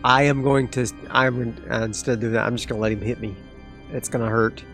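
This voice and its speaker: Casting note: a man with a gravelly voice, narrating a solo dice game with excitable asides, faint music behind. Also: mid-range voice, fairly quick. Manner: talks through dice rolls, excitable asides. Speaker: solo tabletop game player. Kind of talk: solo actual play, thinking aloud.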